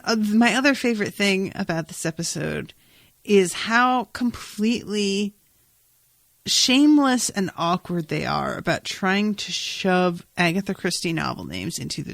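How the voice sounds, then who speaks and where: A woman's voice: medium pitch, expressive, unhurried, gravelly, fairly bright tone, no room sound. A woman chatting casually as a podcast co-host, with a wry, emphatic, sweary way of talking. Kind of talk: casual chat about a TV episode.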